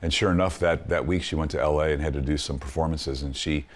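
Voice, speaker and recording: Man with an extremely deep voice. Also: fairly even intonation, fast. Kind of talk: casual interview recollection.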